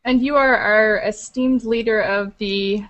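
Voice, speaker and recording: A woman's voice: a little high, steady tone, a bit bright. Upbeat young woman co-hosting a video panel. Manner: upbeat, brisk introductions. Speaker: co-host. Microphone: webcam video call.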